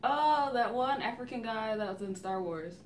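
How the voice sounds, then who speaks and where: A woman's voice: a little high, animated, moderate pace, a little hiss or hum. A young woman chatting casually and giving game clues with family on a couch, recorded by a camera a few metres away. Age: young adult. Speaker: vlogger playing a family party game. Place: living room, on a couch. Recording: roomy, distant camera mic, faint music.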